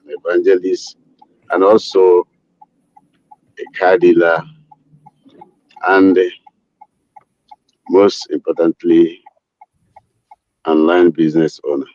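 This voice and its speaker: A man from eastern Nigeria, an evangelist, speaking in a measured, formal way on a live-stream panel over a video call. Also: low voice, flat delivery, dull audio.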